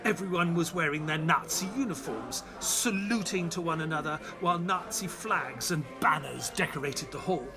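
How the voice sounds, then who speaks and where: Guy playing earnest excitable sidekick telling his story, radio play style, pretty high pitched, music behind him. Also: normal speed, hardly any pauses, natural voice. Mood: suspenseful narration. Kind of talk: dramatic narration and dialogue of an audio play.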